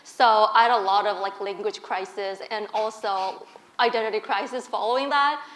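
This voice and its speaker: A woman's voice: medium pitch, steady tone, moderate pace, reverberant, rough recording. Korea-born woman telling her story earnestly. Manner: earnest and open, with soft laughs. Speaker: guest speaker. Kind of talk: candid personal storytelling in a stage interview.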